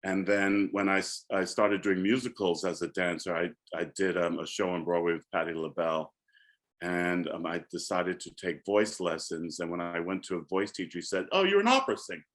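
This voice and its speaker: Older man, very low bass-baritone, reflective panel talk, casual. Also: a little fast. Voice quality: deep bass-baritone speaking voice. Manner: reflective, turns animated in storytelling. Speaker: alumni panelist. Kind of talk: panel discussion.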